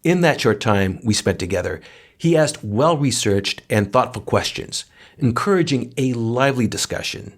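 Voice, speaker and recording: Male podcast co-host, medium pitch, solemn and sincere delivery of read-aloud tributes. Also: expressive, normal speed. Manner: earnest, solemn.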